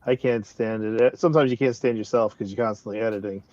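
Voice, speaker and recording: A man's voice: lowish voice, fairly even intonation, fast talker, darkish tone, no room sound. A man in a loose, casual panel chat, thinking aloud with frequent conversational tags. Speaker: panelist, audio drama creator. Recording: dull, dry, lo-fi call audio.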